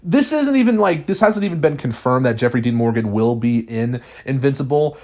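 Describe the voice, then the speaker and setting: Young guy vlogging, kinda rambly, lots of ums and restarts, voice pops up high on new points. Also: animated, brisk, a little mellow. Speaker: YouTube host. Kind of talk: casual speculation vlog.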